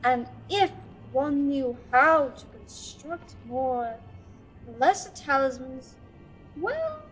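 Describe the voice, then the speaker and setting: Woman acting a high-voiced, flustered Sith archivist. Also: sing-song, slow, soft background music, exaggerated voice, low-quality recording. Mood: scholarly excitement. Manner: nervous, stammering, deferential, bossy to her assistant. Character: flustered, eager scholarly female archive curator serving a Sith. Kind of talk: fan audio drama acting.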